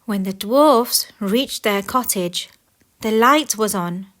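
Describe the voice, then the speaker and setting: A woman narrating a scripted children's fairy tale in an expressive, deliberate way. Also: mid-range voice, faint music behind. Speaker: storyteller.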